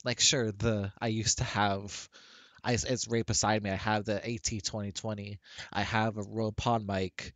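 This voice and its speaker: A man with a deep voice, talking through a casual software tutorial on a headset microphone and often restarting his sentences. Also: little pitch movement, normal speed, no echo. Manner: rambling with frequent restarts and fillers. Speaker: tech tutorial creator.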